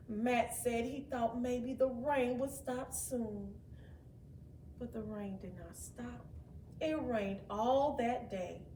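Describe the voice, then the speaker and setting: Woman doing a kids read-aloud, slow n super expressive, bit roomy and far from the mic. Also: on the high side. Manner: expressive, dramatic, unhurried. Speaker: children's storybook reader. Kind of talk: animated read-aloud with asides to young listeners. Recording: roomy, distant mic, faint background noise.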